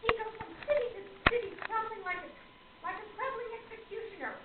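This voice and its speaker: Woman playing a high-voiced theatrical young spirit medium. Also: fast talker. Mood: confiding, weary. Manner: theatrical, solemn. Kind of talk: amateur radio play acting. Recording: very noisy, tinny, echoey distant recording.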